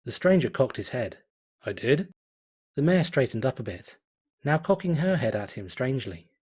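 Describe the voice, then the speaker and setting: Man, story narrator, husky voice, mid pitch, measured delivery. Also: sing-song, darkish tone. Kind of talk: fan fiction audiobook narration.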